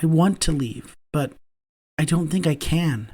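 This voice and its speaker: A man voicing a shy, gentle young man who speaks softly and hesitantly, close to tears. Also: a little high, unhurried, slightly rough, voice pushed up. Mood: tearful, hesitant. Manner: soft, hesitant, shaky.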